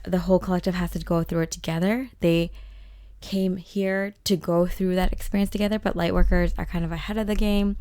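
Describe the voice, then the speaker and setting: A young woman with a slightly raspy voice talks her way through a tarot reading, casual and meandering, full of fillers and false starts. Her pitch sits low and barely moves. The sound is dry and close, with no room around it. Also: no echo. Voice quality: rambling with many fillers and restarts. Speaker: tarot reader and channeler.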